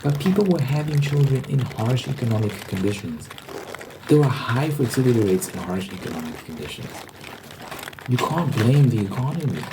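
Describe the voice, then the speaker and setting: A man's voice: medium pitch, animated, moderate pace, background noise. A man giving a casual, rambling ASMR monologue, informal and hesitant, often repeating his words. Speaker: host.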